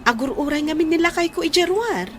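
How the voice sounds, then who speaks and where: A woman playing a chatty, gossipy young woman in a Filipino radio drama, animated and breezy. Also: sits high, a little fast, faint music behind. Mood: evasive, hurried.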